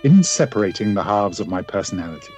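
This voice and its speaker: Man acting a low-voiced, expressive gentleman doctor. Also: quick pace, soft background music. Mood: brooding, confiding. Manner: formal, theatrical. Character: cultured gentleman doctor, secretive, brooding and genial. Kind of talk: radio play acting, journal monologue.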